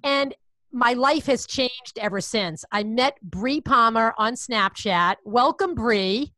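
Boston lady in her 50s hosting a podcast, bright higher voice, gushing and excited, dry close sound. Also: slow, no room sound. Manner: bubbly, gushing, enthusiastic. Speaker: podcast host. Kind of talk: casual interview chat.

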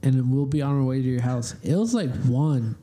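Teasing, profane young man with mellow voice. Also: mid-range voice, normal speed. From Spanish-speaking family. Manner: loose, profane, teasing banter. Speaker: podcast co-host. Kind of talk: casual chat among friends.

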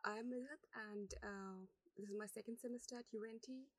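Young woman, bright high voice, interviewed. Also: fairly even intonation, a little fast, dry recording. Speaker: research assistant and student. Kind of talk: interview answers.

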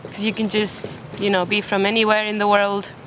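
A woman's voice: mid-range voice, flat delivery, a little fast, fairly bright tone. A young woman from Spain with a British English accent, chatting easily as an interview guest and laughing often, recorded outdoors in a city park. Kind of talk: casual chat. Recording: outdoor street noise.

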